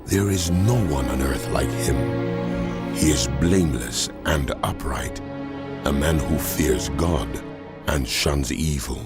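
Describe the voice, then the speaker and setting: An actor playing God as a solemn, commanding deity in a dramatized audio Bible, grave and authoritative. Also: male voice, a bass voice, slow, loud music behind the voice, full character voice. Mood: solemn authority.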